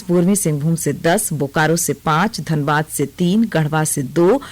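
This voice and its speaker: A woman's voice: fairly low pitch, a little mellow. A woman reading a scripted regional radio news bulletin as the newsreader.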